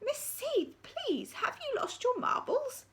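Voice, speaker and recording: A woman's voice: very high-pitched, big pitch swings, takes it slowly, fairly bright tone, slight background noise, voice pushed up, full character voice. A woman voicing a flustered, prim school secretary who sounds exasperated.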